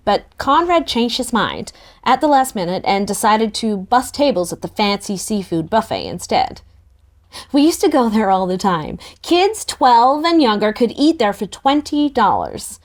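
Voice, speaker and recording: A woman narrating a first-person novel in a relaxed, expressive table-read style. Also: mid-range voice.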